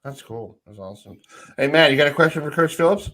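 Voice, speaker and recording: A man's voice: fairly high pitch, expressive, quick pace, fairly bright tone. A middle-aged man hosting a sports radio show, asking informal, rambling questions in a casual chat.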